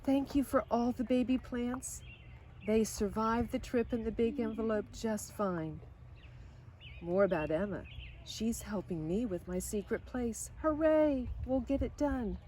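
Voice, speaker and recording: A woman reading a children's picture book aloud in an expressive way, now and then speaking directly to her listeners. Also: fairly high pitch, slight background noise, low-quality recording. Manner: expressive, pausing for short asides to listeners. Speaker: storybook reader.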